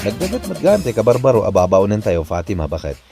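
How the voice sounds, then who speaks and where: A man's voice: on the low side, wildly swooping pitch, fast, creaky, loud music behind the voice, full character voice. A man with an Ilocano accent playing an exasperated, grumbling husband in a comedy drama, on a noisy recording. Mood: annoyed, placating. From Philippines. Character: exasperated husband grumbling at his strict wife.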